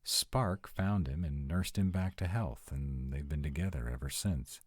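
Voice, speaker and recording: A man's voice: rumbling low, unhurried, no room sound, studio quality. A man playing a sarcastic, anxious vampire of nearly two hundred in an intimate, conversational roleplay audio. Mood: sardonic, musing. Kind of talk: intimate one-sided roleplay audio acting.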